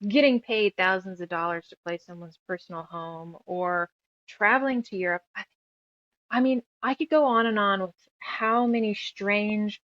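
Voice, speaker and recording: A woman's voice: mid-range voice, normal speed, fairly bright tone, dry recording, muffled recording. A woman in her thirties telling her story casually as an interview guest, rambling and emphatic, piling up lists as she goes. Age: 30s. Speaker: guest author and musician.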